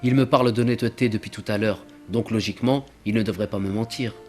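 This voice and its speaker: Casting: male actor for greedy, cowardly traveler in an animated religious tale, shifty and excitable, music underneath. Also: fairly low pitch, quick pace, lightly acted. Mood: sly, scheming. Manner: sly muttered asides and jittery protests. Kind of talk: dubbed religious cartoon acting.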